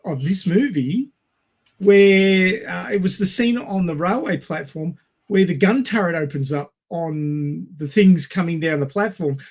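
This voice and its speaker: A man with a high-set voice talks as a podcast co-host. He is conversational and animated, with a wry, sweary way of telling a story. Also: unhurried. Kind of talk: casual film discussion.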